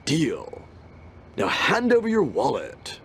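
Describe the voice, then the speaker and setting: An actor playing a dim, slangy young punk and petty mugger in a cartoon game, with a slacker drawl. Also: male voice, fairly high pitch, huge pitch swings, takes it slowly, gravelly, a bit bright, slight background noise, exaggerated voice. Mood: menacing, cocky.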